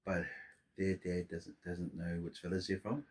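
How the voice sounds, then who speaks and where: Low, husky-voiced middle-aged man. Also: little pitch movement, moderate pace. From Samoa. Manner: rambling, restarts and fillers, warm laughter-prone storytelling. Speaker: podcast guest. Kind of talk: casual interview chat.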